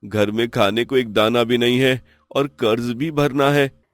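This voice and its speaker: Man as poor village farmer, medium pitch, pleading, lamenting, honest, dry storybook recording. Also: level tone, unhurried, dry recording, close to an everyday voice. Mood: despairing. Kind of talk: moral story dialogue.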